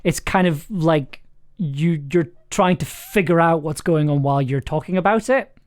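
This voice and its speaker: A man's voice: on the high side, moderate pace, clear, resonant tone. A man hosting a livestream as writer and director, giving casual, encouraging feedback in a hesitant, rambling way. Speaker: host, writer-director of audio drama.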